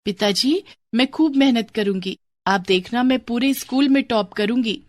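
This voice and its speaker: A woman's voice: fairly high pitch, moderate pace, no echo, natural voice. A woman voicing a determined, polite young schoolgirl in a moral story, earnest and resolute. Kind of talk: acted dialogue in a moral story.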